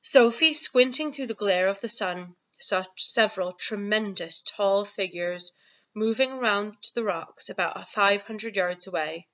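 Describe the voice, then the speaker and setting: A woman narrates a storybook for children in an expressive teacher's style. Her voice is bright and forceful, at a middling pitch. The home recording is slightly dull. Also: measured pace. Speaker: primary school teacher reading aloud.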